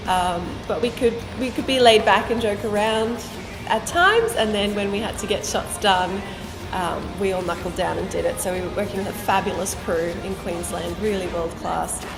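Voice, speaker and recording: A young woman, raised first in South Africa, talks about her acting work in a relaxed and friendly way. Her mid-pitched voice carries a long room reverb. Music sits behind her. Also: moderate pace, creaky. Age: young adult. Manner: friendly, laughs often. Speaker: actress, interview guest. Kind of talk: casual interview answers.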